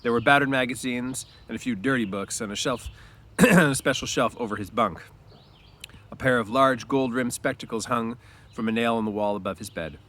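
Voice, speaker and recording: A man narrating a story aloud like a teacher, stopping for informal explanatory asides and clearing his throat. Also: mid-range voice, moderate pace, a bit bright.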